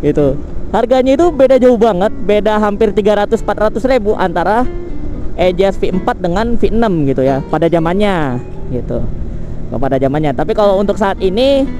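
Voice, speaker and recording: A man's voice: high-pitched, lively intonation, a little fast, over music. A man with an Indonesian accent hosting a casual product comparison vlog, talking in a slangy, conversational way with frequent tag words. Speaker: motovlogger and channel host.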